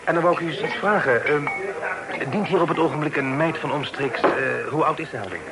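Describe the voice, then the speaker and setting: An actor in a radio play as a helpful, resolute well-to-do gentleman with a polite, reassuring manner. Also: fairly high pitch, brisk, natural voice. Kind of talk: radio drama acting. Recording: noisy old radio play recording.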